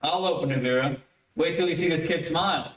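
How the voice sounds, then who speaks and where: A man's voice: on the high side, a little fast, a bit bright, a little hiss or hum, lightly acted. A man playing an eager, easily exasperated matchmaking father in an old-time radio comedy. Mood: eager, chuckling. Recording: echoey room, distant mic.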